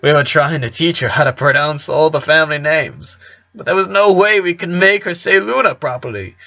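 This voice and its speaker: Man reading as bright-voiced, fond storytelling dad. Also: fairly high pitch, moderate pace, dull audio, voice pushed up. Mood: amused, animated. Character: warm, nostalgic, joking middle-aged father telling family stories. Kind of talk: cartoon fan fiction reading.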